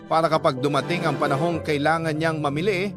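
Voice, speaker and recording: An actress with a Filipino accent playing a devoted, determined lover, narrating a love story in an earnest, confessional way. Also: female voice, deep voice, steady tone, brisk, music in the background, natural voice. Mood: wistful, determined. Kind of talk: dramatic first-person narration.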